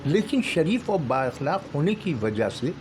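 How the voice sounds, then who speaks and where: A man reading short stories aloud as a storyteller, with measured, deliberate phrasing and pauses. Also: fairly high pitch, very animated. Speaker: storyteller and channel host. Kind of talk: dramatic Urdu short story narration. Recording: music bed under the voice. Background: background music.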